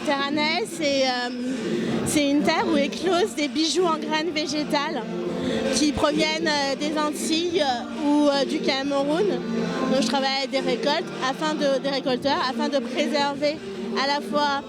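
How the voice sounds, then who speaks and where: Female founder, high-pitched, giving an informal brand presentation over loud music. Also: steady tone, normal speed, rough recording.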